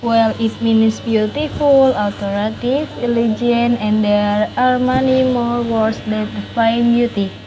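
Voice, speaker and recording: A nineteen-year-old Indonesian woman, a university student with an Indonesian accent, reading her life story in English slowly and earnestly. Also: a little high, fairly even intonation, noisy background.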